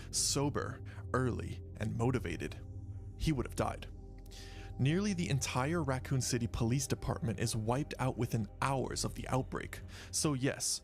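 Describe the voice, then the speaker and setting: A man's voice: mid-range voice, gravelly. A man giving wry, matter-of-fact scripted narration for a video essay. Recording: faint music bed behind voice. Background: faint background music.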